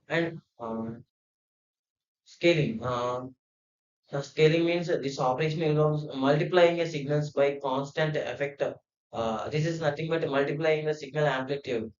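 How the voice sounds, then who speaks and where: A man giving a hesitant, unscripted explanation, with many fillers and restarts. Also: a little high. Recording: roomy, dull lo-fi sound.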